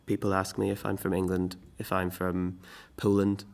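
A young man with a fairly low voice talks informally about himself. His Belfast accent is mild and slightly anglicised, in the manner of a student introduction. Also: completely flat delivery, normal speed, vocal fry, a little mellow. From Belfast, Northern Ireland. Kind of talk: informal spoken self-introduction.

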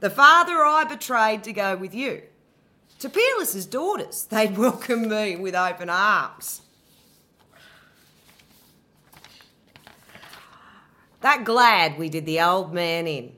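A woman in her thirties playing a betrayed, bitter queen in a dramatic monologue, sarcastic and swinging from low muttering to loud outbursts. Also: lively intonation, measured pace. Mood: anguished. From Australia. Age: 30s. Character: betrayed furious queen, bitter abandoned wife. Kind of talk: dramatic monologue, audition piece.

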